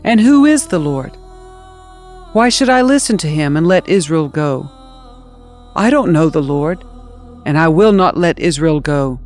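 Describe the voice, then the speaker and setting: A woman's voice: sits low, lively intonation, measured pace, pauses often, darkish tone, faint music behind. Harsh, commanding ancient king played by a female reader, scornful and imperious, for scripture audio drama. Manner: imperious, scornful, barking orders.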